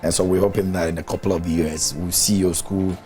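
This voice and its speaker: Man, morning TV host, lowish voice, chatty, teasing. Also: level tone, brisk, music in the background. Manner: chatty, teasing, laughs often. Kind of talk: casual studio interview. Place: TV studio.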